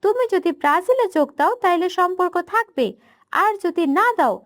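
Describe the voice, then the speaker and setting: A woman's voice: very high voice, expressive, moderate pace, dry recording, clean studio sound. A woman voicing a stubborn young girlfriend in a cartoon, pleading and firm. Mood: defiant. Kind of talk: cartoon voice acting.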